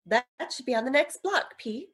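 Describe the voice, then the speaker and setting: Earnest, affectionate heroine for radio drama, high voice, excitable and fretful, female actor. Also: moderate pace. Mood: inquisitive. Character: earnest, excitable sweetheart sidekick of a sleuth, female. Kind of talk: vintage radio drama acting.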